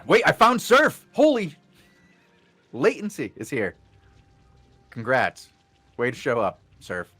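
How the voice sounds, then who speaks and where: A man giving excitable live color commentary on an online game battle, alongside a co-caster. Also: takes it slowly, long pauses, breathy edge, no room sound, faint music behind. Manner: excitable, pitch jumps on big moments. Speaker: esports co-caster.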